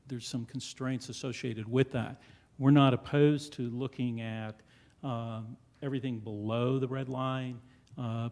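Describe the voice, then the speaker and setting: A man representing a developer, presenting to a town board in a council chamber, loud and full of fillers. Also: mid-range voice. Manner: loud and hesitant, many fillers.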